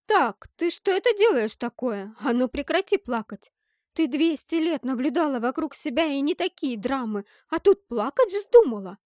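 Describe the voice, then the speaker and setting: A woman's voice: mid-range voice, steady tone, a little slow, dark tone, no echo, studio quality, natural voice. A woman voicing a stern, protective fantasy heroine, firm, emphatic and tense, in an audiobook story. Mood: scolding.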